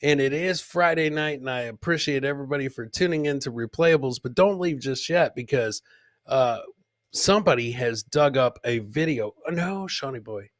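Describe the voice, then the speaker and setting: A man's voice: mid-range voice, moderate pace, no room sound, clean studio sound. A man hosting a live comedy clip show, bantering casually and jokily with his co-host and chat. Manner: jokey, addresses co-host and live chat by nickname.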